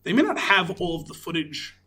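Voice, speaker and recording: A man's voice: high voice, very animated, fairly quick, bright, forceful tone, husky. A man co-hosting a casual movie podcast, talking in an emphatic, opinionated, sweary way. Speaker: podcast co-host.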